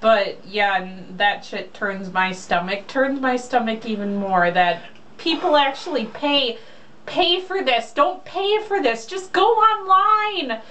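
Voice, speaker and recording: A woman's voice: fairly high pitch, lively intonation, slow, bright, forceful tone. A woman chatting casually and reading aloud in a blunt, sarcastic, mocking way, swearing freely. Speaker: co-host reading a romance novel aloud. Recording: distant mic, faint background noise.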